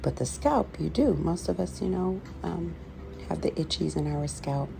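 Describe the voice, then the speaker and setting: Low, very creaky-voiced woman, unhurried. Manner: unhurried, conversational, halting with pauses. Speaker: host, scratch therapy practitioner. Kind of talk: explanatory voiceover for ASMR video. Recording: clearly audible background noise.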